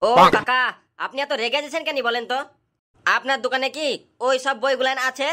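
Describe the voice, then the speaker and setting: A woman's voice: high-pitched, steady tone, a little fast, bright, forceful tone. A woman voicing a sassy young schoolboy customer in a comedy cartoon, with indignant, mocking delivery. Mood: protesting. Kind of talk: comic cartoon dubbing.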